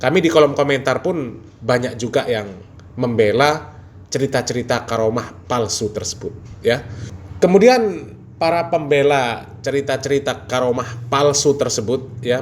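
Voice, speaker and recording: A man works as a channel host, commenting casually on religious matters. His mid-pitched voice speaks straight to viewers, and his manner is amused and mocking. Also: a little hiss or hum.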